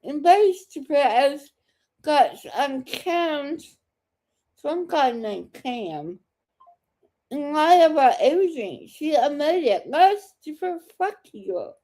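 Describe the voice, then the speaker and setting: A woman's voice: sits high, big pitch swings, slow pace, warm tone, rough recording. A woman chatting in a casual, confrontational way, taunting and crude.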